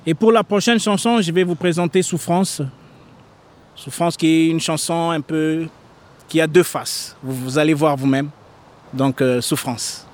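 A man's voice: a little high, fairly even intonation, darkish tone. Middle-aged man chatting between songs. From Congo. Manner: unhurried, earnest spoken asides to the crowd. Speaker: singer-songwriter with guitar. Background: guitar playing.

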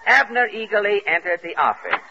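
An actor narrating an old-time radio comedy as a wry, mock-earnest storyteller. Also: male voice, sits high, very animated, a little fast, creaky, full character voice. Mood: wry, playful. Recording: muffled vintage radio recording, audience noise behind.

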